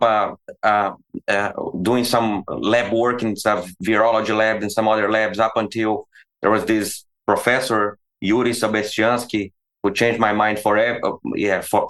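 A man in his forties with a Brazilian Portuguese accent answers interview questions as a guest expert. His voice sits a bit low, and he talks in a halting way, restarting and repeating words as he thinks. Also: fairly bright tone. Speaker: veterinary professor, podcast guest. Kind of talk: conversational interview.

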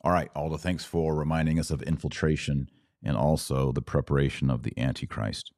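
Male host, very low register, dry close-mic sound, punchy live-chat commentary. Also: fairly even intonation, fairly quick, darkish tone, dry recording. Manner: emphatic, punchy lists of short phrases. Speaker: podcast host.

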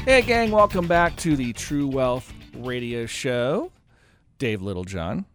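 A man's voice: medium pitch, very animated, takes it slowly, fairly bright tone, soft background music. A man hosting a talk radio show as a financial adviser, explaining things in an informal, folksy, conversational way. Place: radio studio.

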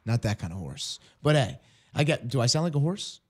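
Man, hoarse voice, radio host, earnest, halting, restarts often. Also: mid-range voice, big pitch swings. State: hoarse from illness. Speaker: ministry program director.

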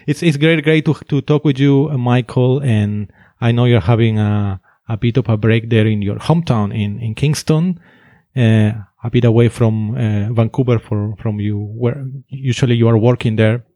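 A man with a Finnish accent hosting an interview, hesitant and quick to laugh. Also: mid-range voice, warm tone, no echo, studio quality. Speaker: podcast host.

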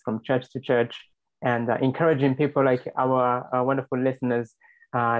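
A young man from Rwanda, a guest telling his story in a measured, hesitant way with many fillers. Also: mid-range voice, lo-fi sound. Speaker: guest, spokesperson. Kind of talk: interview storytelling.

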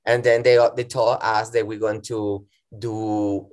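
A middle-aged man from Venezuela with a Venezuelan accent, speaking English as a second language as an interview guest, animated and given to restarts. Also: on the low side, slow. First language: Spanish. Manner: animated, restarts often, acts out his own past words. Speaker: guest performer. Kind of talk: casual interview chat.

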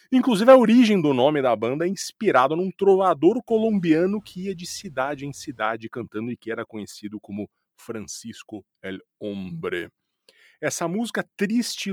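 A man's voice: medium pitch, no echo. A man hosting a music show, giving chatty commentary on songs with playful asides, recorded on a close studio mic. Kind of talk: radio-style music commentary.

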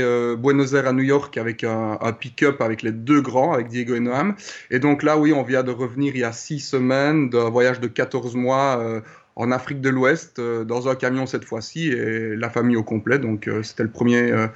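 A man in his thirties from Liège with a Belgian French accent, a guest answering interview questions with many pauses and fillers. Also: medium pitch, fairly even intonation, highs cut off. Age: 30s. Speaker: guest, traveler. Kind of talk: remote interview.

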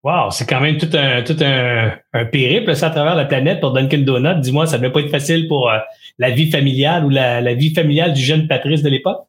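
A man with a Québécois French accent hosting an interview, reacting with enthusiasm and asking questions in a conversational way. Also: mid-range voice. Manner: enthusiastic, quick to react with wows. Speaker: podcast host.

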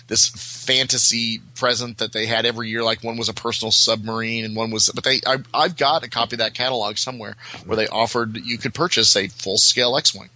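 A man chatting casually on a panel, rambling and halting as he digresses with enthusiasm. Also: medium pitch, sharp tone, poor audio. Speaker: guest panelist on a podcast.

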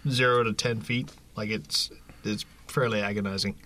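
A man's voice: lowish voice, fairly even intonation, normal speed, bright, forceful tone. A man co-hosting a casual podcast, joking and rambling as he talks. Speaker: podcast co-host. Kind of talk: casual bar-talk podcast chat.

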